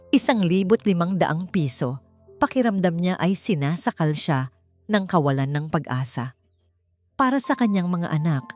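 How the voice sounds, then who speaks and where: A woman with a slightly low voice narrates a romance drama as scripted storytelling. Faint music plays behind her.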